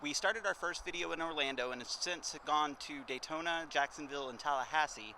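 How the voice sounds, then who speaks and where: Man, street interview host, quick pace, casual, clear outdoor vehicle noise. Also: on the high side, pushed and bright, low-quality recording.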